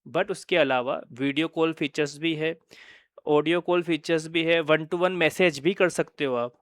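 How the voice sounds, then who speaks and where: Clear-voiced Indian man presenting, slightly high pitch. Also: a bit bright, dry recording, studio quality. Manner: hesitant, mixes in English phrases, checks in with tag words. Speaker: company presenter of wireless communication products. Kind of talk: informal explanatory product presentation.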